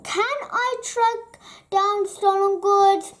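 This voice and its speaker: A woman voicing a hungry, grumpy, excitable male burglar from a children's storybook, dramatic and exclamatory. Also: extremely high pitch, drawn-out words, pitched above the performer's own voice, full character voice. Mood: excited, self-questioning. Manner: exclamatory, dramatic, self-questioning.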